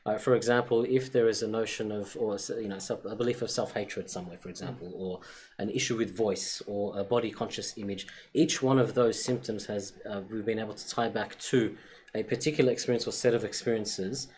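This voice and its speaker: A man speaking as a guest in a panel discussion, reflecting in a measured, thoughtful manner. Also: lowish voice, steady tone, darkish tone. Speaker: guest sharing personal experience of therapy. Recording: clearly noisy background.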